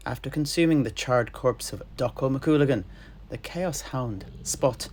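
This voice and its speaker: A man narrating a fantasy battle tale in character, theatrically and with deliberate, dramatic pauses. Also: mid-range voice, darkish tone. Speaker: storyteller guest in an in-character fantasy chat.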